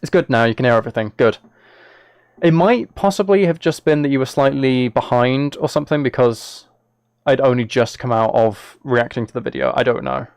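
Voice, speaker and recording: A man's voice: medium pitch, brisk, darkish tone, clear, resonant tone, dry recording, clean studio sound. A man live streaming, talking casually and a little hesitantly to his viewers as he reads chat and reacts.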